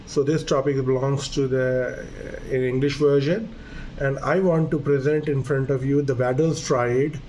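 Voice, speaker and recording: Earnest hesitant doctor giving a lesson. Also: male voice, medium pitch, steady tone, unhurried, darkish tone, slight background noise. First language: Urdu. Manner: hesitant, earnest, repeats phrases with fillers. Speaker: doctor presenting a medical video lesson. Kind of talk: medical lecture.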